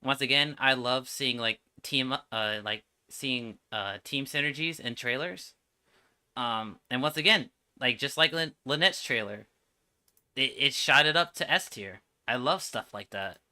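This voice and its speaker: A man's voice: mid-range voice, measured pace, pushed and bright. A man giving casual, slangy and enthusiastic livestream commentary as he reacts to game trailers.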